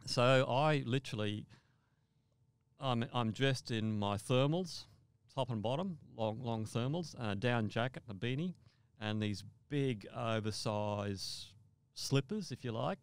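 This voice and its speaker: A man's voice: medium pitch, lively intonation, measured pace, clean tone, dry recording. An older man telling a first-person story conversationally as a podcast guest, often repeating and rephrasing his words.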